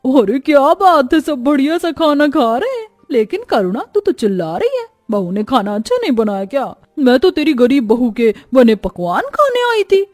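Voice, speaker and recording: Woman as a high-voiced, nosy neighbour lady. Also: big pitch swings, moderate pace, hardly any pauses, a little mellow, dry recording, soft background music. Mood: prying. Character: nosy, chatty neighbour woman, prying and teasing.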